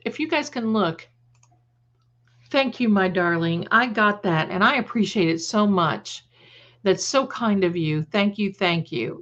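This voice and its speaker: A woman's voice: medium pitch, a bit bright, dull audio. A woman hosting a casual live chat, rambling and repeating phrases, with affectionate endearments for her viewers. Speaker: livestream host and creator.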